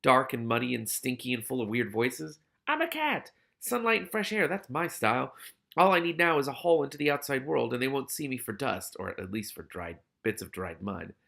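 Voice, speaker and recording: A man's voice: mid-range voice, sing-song, a little fast, creaky, pushed and bright, heavily acted. A man voicing a sardonic, stubborn talking cat from a storybook, grumbling and defiant. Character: sardonic, stubborn male talking cat, self-serving streetwise survivor.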